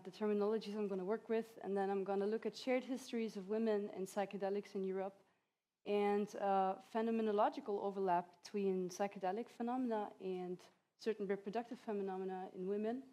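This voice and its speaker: A woman's voice: medium pitch, steady tone, brisk, dark tone. A woman in her thirties whose first language is Hungarian, giving an academic talk at a conference with light laughs between points. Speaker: conference speaker. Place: conference hall.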